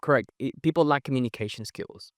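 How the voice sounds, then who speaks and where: A young man whose first language is Spanish, with a mixed Spanish and Australian accent, talking as a podcast guest in an energetic, emphatic way and often ending with "right?". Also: mid-range voice, no room sound, clean studio sound. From Chile. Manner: fast, emphatic, leans on "right?" tags. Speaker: podcast guest, networking event organizer. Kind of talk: energetic casual interview talk.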